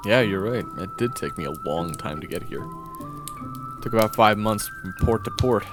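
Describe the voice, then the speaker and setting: A man's voice: lowish voice, animated, moderate pace, lightly acted. A man voicing a married swordsman from northwest Europe, flustered and wary, stammering through nervous restarts, with music playing behind. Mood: uneasy. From Orleans, northwest Europe. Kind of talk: audio roleplay acting.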